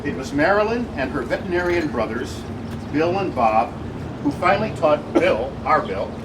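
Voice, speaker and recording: A man with a slightly high voice reads a light, humorous tribute, slipping in wry asides as he goes. He is picked up from some distance, with very loud room noise around him. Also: very animated, normal speed. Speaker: speech reader at a birthday celebration. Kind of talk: reading a humorous tribute speech with ad-libbed asides.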